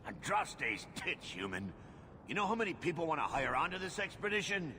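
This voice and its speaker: A gruff, impatient dwarf barks out refusals in a fairly high, swinging voice. He is brusque and cuts people off. A low rumble sits under the game audio. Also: big pitch swings, moderate pace. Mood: irritated. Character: gruff impatient male dwarf expedition leader.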